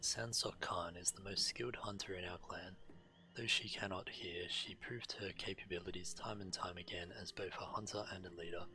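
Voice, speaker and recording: A man's voice: low-pitched, fairly even intonation, normal speed, pushed and bright, a little hiss or hum, low-quality recording. A man streaming a game and reading quest text aloud. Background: game music.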